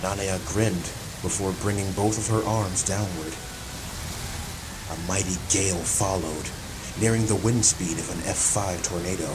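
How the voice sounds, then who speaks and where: A man's voice: low voice, noisy background. A man reading scripted, dramatic action-fantasy narration as an audiobook narrator.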